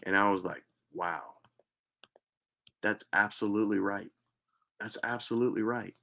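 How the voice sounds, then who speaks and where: A man earnestly telling a personal spiritual story as host, repeating himself for emphasis and sometimes dropping to a hushed voice. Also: lowish voice, fairly even intonation, measured pace, creaky. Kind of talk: personal testimony monologue.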